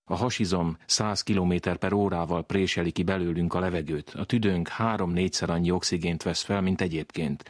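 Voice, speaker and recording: A man presenting a science radio program, reading scripted narration in a measured, informative way into a studio microphone. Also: deep voice, dry recording.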